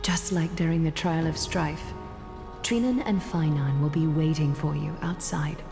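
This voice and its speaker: Regal fantasy game priestess, lowish voice, composed and formal, reverby with music. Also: female voice, steady tone, a little slow, vocal fry. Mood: reassuring. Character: poised, dignified noblewoman priestess in fantasy game. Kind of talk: video game voice acting. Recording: long reverb, music behind.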